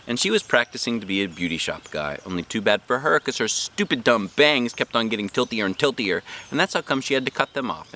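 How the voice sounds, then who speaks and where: Male children's story narrator, low register with big melodic swings, slight background noise. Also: bright, forceful tone. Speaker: read-aloud host.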